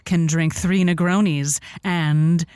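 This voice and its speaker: A woman playing a game but halting advice-show host in improvised radio comedy, repeating herself and using showily learned words. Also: deep voice, fairly even intonation, darkish tone, clean tone, dry recording. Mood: sympathetic, exasperated.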